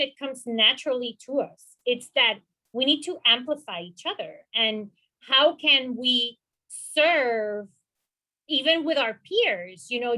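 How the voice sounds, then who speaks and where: A woman speaks in a high, bright voice on a webinar panel. She talks earnestly and encouragingly, with many "you know" fillers. Her speech comes over a video call. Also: low-quality recording. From Puerto Rico. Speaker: panelist, mental health professional.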